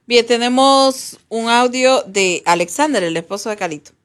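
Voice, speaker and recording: A woman's voice: fairly high pitch, lively intonation, normal speed. A woman with a Honduran Spanish accent hosting a radio show, chatting informally and relaying messages from listeners. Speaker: radio host. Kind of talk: casual talk radio chat, reading listener messages.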